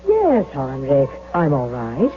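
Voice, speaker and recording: An actress playing a dazed, rapturous woman in a 1970s radio drama, speaking in drawn-out wonder. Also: very deep voice, huge pitch swings, full character voice. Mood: dazed rapture. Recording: dull vintage radio recording, music behind.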